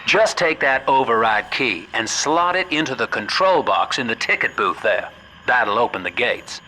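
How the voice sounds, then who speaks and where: A man's voice: medium pitch, animated, normal speed, background noise. An actor playing a folksy, wry middle-aged American man with a drawl, talking colloquially over a radio. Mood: urgent, encouraging. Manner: drawling, colloquial, old-fashioned slang.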